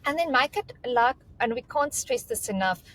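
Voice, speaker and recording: South african lady, kinda high voice, calm how-to advice for nurses on a webinar. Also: normal speed, poor audio. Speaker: recruitment guest. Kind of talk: giving career advice on a webinar.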